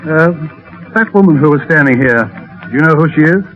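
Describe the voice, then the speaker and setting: A man playing a confident, gallant gentleman traveller with an American accent in a 1930s radio drama. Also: fairly high pitch, expressive, a little fast, soft background music, natural voice. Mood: brisk, commanding. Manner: assured, gallant. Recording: dull, noisy 1930s radio recording.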